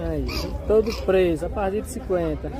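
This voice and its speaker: A man's voice: high voice, moderate pace. A man with a Northeastern Brazilian accent chatting informally as a market vlogger, asking prices and repeating answers back. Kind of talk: casual on-the-spot market commentary and chat. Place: outdoor pig market. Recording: very loud outdoor livestock market crowd. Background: loud crowd, animals, vehicles.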